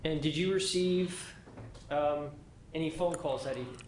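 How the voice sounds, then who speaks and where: A man's voice: fairly high pitch, moderate pace, darkish tone, reverberant, a little hiss or hum. A man questioning a witness in court as prosecutor, methodical, with short probing questions.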